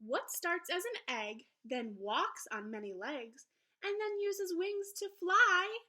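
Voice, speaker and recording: A woman teacher reading aloud to young children in an encouraging, expressive storybook manner. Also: very high-pitched, takes it slowly. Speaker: elementary school teacher. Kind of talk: children's read-aloud lesson.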